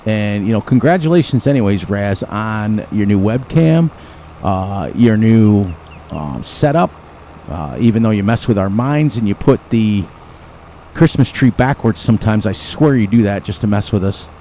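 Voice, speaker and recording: A mellow, relaxed man rambles through casual commentary for his gaming channel. He wanders off on tangents and laughs lightly at himself along the way. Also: on the low side, normal speed, slight background noise. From United States. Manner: rambling, chatty, chuckles often.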